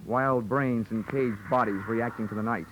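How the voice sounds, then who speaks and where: An actor playing a wry, wisecracking American insurance investigator who narrates a 1940s hardboiled radio drama. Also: male voice, medium pitch, steady tone, normal speed, background noise, natural voice. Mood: wry narration. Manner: dry wit, wisecracking. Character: wry, tough male insurance investigator hero. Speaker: lead and narrator of detective show. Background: music.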